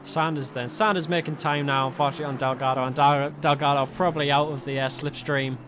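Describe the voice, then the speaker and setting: A young man commentating a sim race alone, chatty and self-mocking, laughing often, his voice going a little hoarse. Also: on the high side, fairly even intonation, fast, background noise. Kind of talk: solo sim racing commentary. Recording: game car sounds behind.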